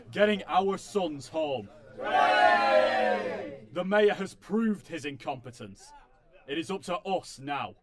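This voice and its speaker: A man playing a resolute campaigner giving a deliberate, oratorical public speech in a period audio drama. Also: fairly high pitch.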